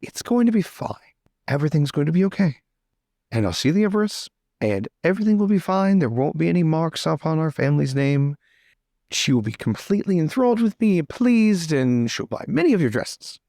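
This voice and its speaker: A man's voice: a little high, sing-song, fairly quick, darkish tone, no room sound, clean studio sound. A man playing a cocky, easily distracted lord in a role-play drama, pompous and stammering as he defends himself. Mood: flustered, reassuring.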